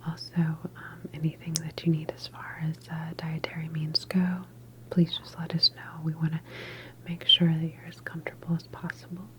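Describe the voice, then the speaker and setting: A woman whispering softly and breathily in an ASMR medical roleplay as a calm, reassuring doctor examining a patient. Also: low-pitched, rough recording. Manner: gentle, unhurried, reassuring bedside manner. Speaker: ASMR performer playing a government facility doctor.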